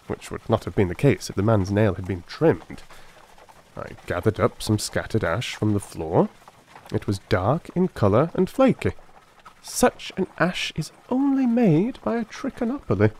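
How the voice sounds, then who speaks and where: A man voicing a brisk, self-assured detective who lectures precisely and emphatically, in a dramatised Victorian audiobook. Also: mid-range voice, darkish tone, poor audio. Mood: proud, explanatory.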